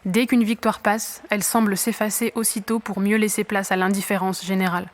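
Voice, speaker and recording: A woman's voice: medium pitch, little pitch movement, brisk, lightly acted. A woman playing an embattled, doubtful young athlete in a French audio drama, earnest and colloquial. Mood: melancholy, reflective. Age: young adult. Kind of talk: naturalistic French audio fiction acting.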